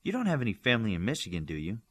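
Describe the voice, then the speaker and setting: A man's voice: fairly low pitch, huge pitch swings, rapid-fire, fairly bright tone, full character voice. Man reading as an awkward, curious young student.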